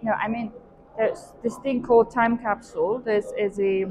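Young woman, interview host, fairly high voice, casual questioning, noticeable ambient noise. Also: fairly even intonation, normal speed. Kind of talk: casual walk-and-talk interview. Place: large indoor hotel hallway and walkway, while walking. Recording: clearly noisy hallway ambience.

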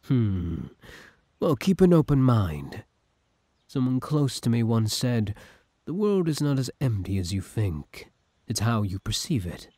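Man playing a slow, scheming young villain. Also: mid-range voice, big pitch swings, mellow, slightly rough, dry recording. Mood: smoothly manipulative. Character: obsessive, scheming young male yandere villain feigning kindness. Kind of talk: ASMR audio roleplay, intimate dramatic acting.

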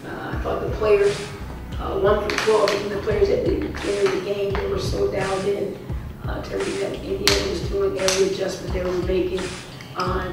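Gravelly-voiced woman coach in an echoey press room. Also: mid-range voice, far from the mic. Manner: measured, frequent "uh" fillers. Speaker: head coach. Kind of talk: post-game press conference. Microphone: table mic.

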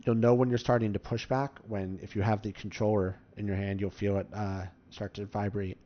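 A man's voice: fairly low pitch, little pitch movement, a little fast. A man giving casual, step-by-step commentary as host of a game tutorial. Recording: slightly dull, muffled microphone.

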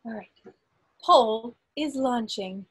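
A woman acting as quizmaster, reading quiz questions aloud to an online group in a deliberate way, pausing between phrases. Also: on the high side, fairly bright tone, breathy edge. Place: indoors on a group video call. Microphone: video call microphone.

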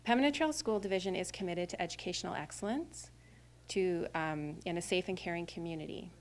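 A woman's voice: on the low side, brisk. School trustee woman giving formal greetings. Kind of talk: prepared greeting speech. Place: school classroom. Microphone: podium microphone.

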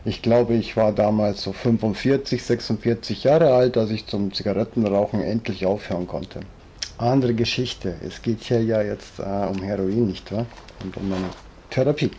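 A man in his fifties with a Bavarian accent, telling his story in a rambling, chatty way in a living room, often trailing off with fillers, with a low-quality home recording. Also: normal speed, dark tone, slight background noise. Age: 50s. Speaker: vlogger. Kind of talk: casual storytelling monologue, reading aloud. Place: living room, seated in armchair.

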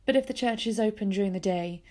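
A woman reading a scripted voice-over that gives slow, careful, step-by-step instructions for setting up equipment. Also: medium pitch, a little mellow. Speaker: project presenter.

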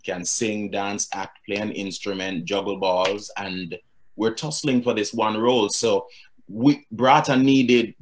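A man in his thirties with a thick Jamaican accent, answering interview questions over a video call with an easygoing manner, often restarting his sentences. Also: on the low side. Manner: easygoing, frequent fillers and restarts. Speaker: guest, theatre producer. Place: home room.

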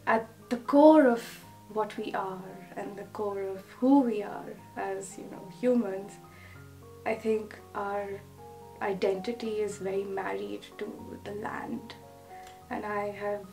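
A woman in her twenties who grew up in Oman speaks slowly, in a halting, thoughtful way. She sounds a little distant from the mic, with faint music behind her. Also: medium pitch, a little mellow. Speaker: interviewee. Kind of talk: reflective interview answers. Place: indoor room by a window.

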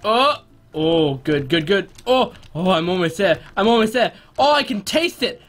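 A young man giving casual, excitable gameplay commentary in an animated way, often interrupting himself. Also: high voice, normal speed, faint music behind. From born in New Zealand, spent first three years there. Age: young adult. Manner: animated, self-interrupting, prone to exclamations. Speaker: gaming video host. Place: small room at home, indoors.

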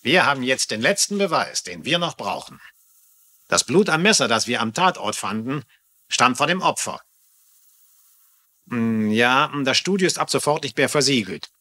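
An actor playing a self-assured police lieutenant in a dubbed TV crime drama, smug, sarcastic and condescending. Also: male voice, medium pitch, big pitch swings, moderate pace, bright, forceful tone. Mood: brisk, irritated. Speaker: voice actor in a German crime series dub.

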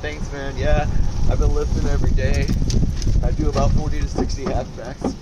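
A man's voice: on the high side, little pitch movement, moderate pace. A man bantering casually in a joking manner. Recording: dull sound, loud engine-like noise.

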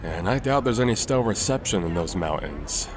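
An actor voicing a brash, good-natured young human fighter with an eager, goofy delivery. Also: male voice, low-pitched, expressive, normal speed, noisy background. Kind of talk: comic dub voice acting.

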